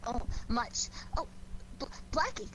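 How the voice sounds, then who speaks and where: American radio detective's girlfriend, 1940s, highish voice, eager n playful, hissy old recording. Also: sing-song, slow pace, a bit bright, heavily acted, low-quality recording. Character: sleuth's bright, affectionate, curious lady companion.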